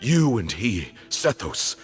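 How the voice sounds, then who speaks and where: An actor playing a proud, zealous old temple leader in a video game, speaking in a solemn, formal and deliberate way. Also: male voice, lowish voice, big pitch swings, faint music behind. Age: older. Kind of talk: dramatic video game voice acting.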